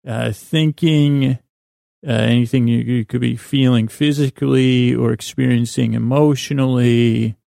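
A man hosting a bedtime podcast in a meandering, halting monologue, whimsical and self-deprecating, often trailing off mid-thought. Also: medium pitch, steady tone, gravelly, warm tone, no echo, studio quality. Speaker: podcast host. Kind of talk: rambling bedtime monologue for listeners to fall asleep to.

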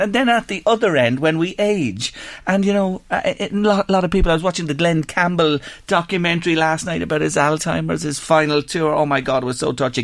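A man's voice: a little high, no echo. An older man with an Irish accent hosting a conversational live radio interview. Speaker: radio host.

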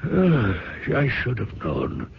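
An actor in a radio drama playing a dying old rich businessman, a moralizing, anxious father who speaks in a hoarse, halting, pleading way. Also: mid-range voice, huge pitch swings, slight background noise, exaggerated voice. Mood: earnest, dismayed. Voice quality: hoarse, weak, gravelly. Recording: dull, band-limited old radio recording.

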